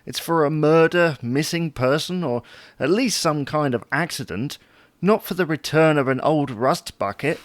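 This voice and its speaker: A man's voice: fairly high pitch, moderate pace, no room sound, lightly acted. A man playing a dry, long-suffering husband who placates his wife in a domestic audio drama. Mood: wry, grumbling. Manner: wry, dryly placating. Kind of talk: domestic comedy-drama dialogue.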